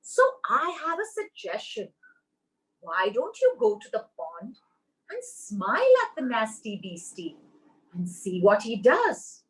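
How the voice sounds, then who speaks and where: A woman voicing a gentle, wise mother squirrel in a children's story, speaking in a soothing, coaxing way. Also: on the high side, huge pitch swings, takes it slowly, exaggerated voice, low-quality recording.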